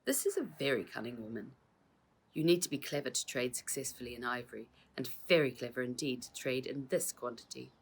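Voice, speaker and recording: A woman reads the part of a patient policeman in a children's storybook. He explains himself formally and with measured reason. The voice is husky and a little gravelly. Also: extremely low pitch, animated, voice pushed down, heavily acted. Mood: firm, explaining.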